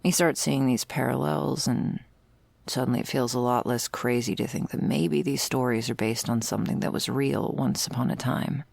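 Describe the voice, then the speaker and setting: A woman playing a jaded, sardonic vampire's servant in an intimate ASMR roleplay, talking in a wry, world-weary, gravelly way. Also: extremely low pitch, steady tone, moderate pace, a little mellow, dry recording, full character voice. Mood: dry, musing. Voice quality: very creaky, gravelly. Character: jaded, sardonic female vampire's servant explaining undead lore.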